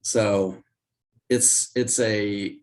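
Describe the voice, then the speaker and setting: An older man with a hoarse, raspy voice while recovering from illness, explaining market charts as a guest on a video call. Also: steady tone, darkish tone. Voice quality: raspy, deeper than usual. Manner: measured, explanatory, with restarts. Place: home office indoors.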